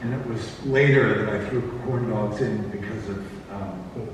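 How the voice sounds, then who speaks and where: A man's voice: lowish voice, a little slow. Man on a panel in an echoey hall. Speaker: panelist, audio drama director and actor. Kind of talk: casual panel discussion. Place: convention panel room. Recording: echoey hall, distant mic, faint noise.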